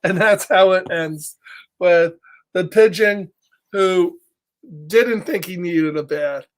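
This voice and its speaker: A man's voice: sits high, slow. A middle-aged man telling a children's story, with casual chatty asides and a playful, encouraging, simple way of talking.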